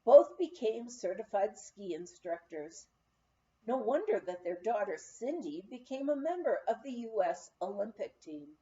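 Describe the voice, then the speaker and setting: A woman reading a memoir aloud as an expressive storyteller, in the manner of an audiobook narrator. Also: mid-range voice, unhurried, highs cut off. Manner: storytelling, acts out quoted dialogue. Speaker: audiobook reader.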